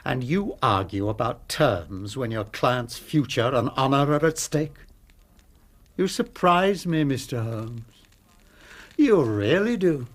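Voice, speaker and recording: Oily, smug English blackmailer. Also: male voice, mid-range voice, animated, slow. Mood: mock regret, menacing. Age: middle-aged. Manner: mock-polite, pompous, theatrically regretful. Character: smug, oily, small stout middle-aged master blackmailer. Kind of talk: British radio drama acting.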